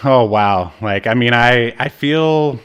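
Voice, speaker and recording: A man talks as a podcast host in an easygoing, conversational way. He jokes often and pokes fun at himself, with a medium-pitched voice. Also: slow, fairly bright tone, smooth. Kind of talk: casual chat about obscure media.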